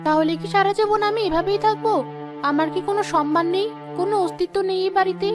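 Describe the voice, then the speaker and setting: A woman's voice: very high-pitched, fast, over music. A woman playing a wronged, long-suffering kind wife in a melodramatic cartoon, plaintive and protesting. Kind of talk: melodramatic cartoon story dialogue.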